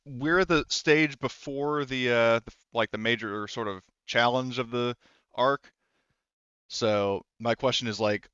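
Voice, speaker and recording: A man's voice: medium pitch, a bit bright, clear, resonant tone, dry recording, dull audio. A man running a tabletop game in casual talk, rambling and hesitant as he corrects himself. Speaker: game master.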